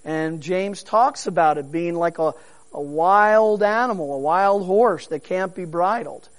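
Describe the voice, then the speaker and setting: A man preaching a sermon, earnest and unhurried, with frequent fillers and repeated words. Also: high-pitched. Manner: preaching with emphatic rises. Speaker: pastor.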